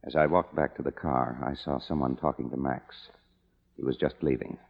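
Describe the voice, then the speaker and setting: An actor playing a wry, cynical young screenwriter in an old noir radio drama, with a dry, casual, hard-boiled delivery. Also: male voice, very deep voice, fairly even intonation, moderate pace, vocal fry, slightly rough, exaggerated voice. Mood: wry narration. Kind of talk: mid-century noir radio acting and narration. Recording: dull old radio recording.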